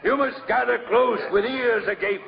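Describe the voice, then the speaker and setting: Big cruel taunting brute, theatrical, creaky voice, muffled 1950s radio drama with crowd noise. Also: male voice, extremely high pitch, moderate pace, full character voice. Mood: mocking, boastful. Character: huge cruel bullying man mocking a captive. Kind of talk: old-time radio drama acting.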